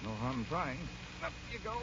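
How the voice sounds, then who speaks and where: A man's voice: mid-range voice, big pitch swings, a little fast, natural voice. An actor playing an easygoing, gallant young American man with a casual, good-humored manner in an old-time radio drama. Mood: gallant, determined. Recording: muffled, faintly hissy old radio recording.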